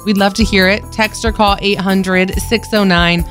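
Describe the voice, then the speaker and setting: A woman with a deeper voice co-hosting a morning radio show, chatting easily and laughing often. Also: steady tone, normal speed, a bit bright, faint music behind. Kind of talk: casual radio chat.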